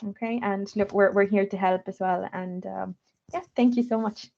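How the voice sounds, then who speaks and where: A woman's voice: medium pitch, fairly even intonation, creaky, darkish tone. Woman briefing on a muffled call. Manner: fast, filler-heavy, reassuring. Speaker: refugee support speaker. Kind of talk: informal briefing on a video call. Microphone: video call. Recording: muffled video call audio.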